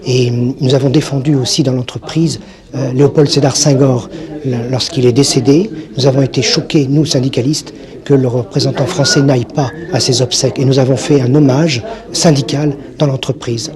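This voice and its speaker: A man's voice: medium pitch, steady tone, moderate pace. A male trade unionist giving a press conference speech, hesitant and full of fillers. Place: press conference in a crowded room. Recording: crowd noise behind.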